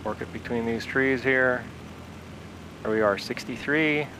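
A man hosting a flight simulator video, calmly talking through each step aloud as he flies from a home cockpit, speaking into an aviation headset. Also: mid-range voice, a little slow, background noise. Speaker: flight sim video host. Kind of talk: casual instructional narration while flying.

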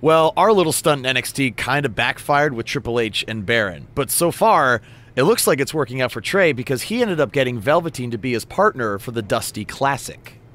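A man's voice: mid-range voice, lively intonation, a little fast, fairly bright tone, natural voice. An actor playing a chatty, patient podcast host in a video game, a wryly exasperated straight man.

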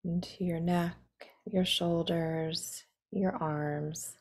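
A woman leading a guided meditation in a soothing, instructing manner, some phrases softening into a breathy near-whisper. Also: on the low side, fairly even intonation, extremely slow.

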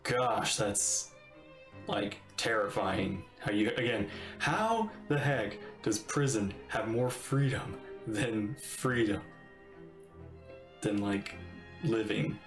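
A man's voice: lowish voice, animated, slow. Roomy-sounding male game streamer. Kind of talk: casual live reaction commentary. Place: indoors at a desk, webcam stream. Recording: roomy echo, faint game music.

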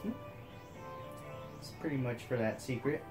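A woman's voice: rumbling low, unhurried. A woman hosting a let's play, musing casually over the game and laughing often. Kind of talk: casual gameplay commentary. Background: game music.

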